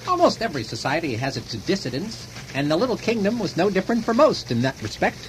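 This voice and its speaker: A man's voice: sits high, very animated, fast talker. An actor playing a solemn, scholarly male narrator in scripted mock-documentary radio narration. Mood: solemn, expository. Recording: noisy old radio recording.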